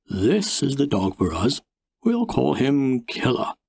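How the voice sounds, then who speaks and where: A man voicing a boastful, blustery father with a booming voice in an audiobook story. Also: sing-song, slow pace, vocal fry, darkish tone, husky, no room sound, exaggerated voice.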